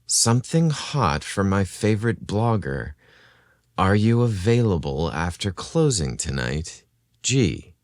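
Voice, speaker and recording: Soft-spoken hunky barista acted by a male narrator, audio romance: low, gentle, flirtatious, dry sound. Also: very animated, slow, dry recording. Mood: flirty.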